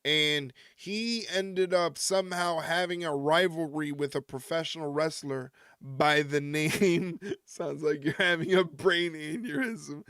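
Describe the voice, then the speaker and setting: A man's voice: a little high, a bit bright, clean tone, dry recording, clean studio sound. A man hosting a wrestling live stream, rambling and joking with his chat and often chuckling. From United States.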